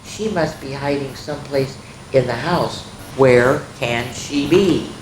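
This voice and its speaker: A woman's voice: very deep voice, slow. A woman voicing a mild, puzzled father in a children's storybook, recorded in an echoey room. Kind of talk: children's storybook read-aloud. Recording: echoey room with background noise.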